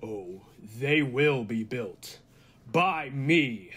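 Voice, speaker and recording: An actor playing a cold, megalomaniac humanlike robot in an old-time radio drama, speaking deliberately and with grandiose menace. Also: male voice, medium pitch, lively intonation, a bit bright, breathy edge, exaggerated voice. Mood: grandiose, exultant. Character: cold, megalomaniac humanlike male robot bent on world mastery.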